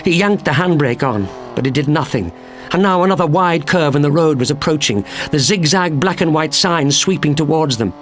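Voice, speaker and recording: A man's voice: a little high, expressive, moderate pace, soft background music. A man reading a thriller story as a dramatic, scripted audiobook narration.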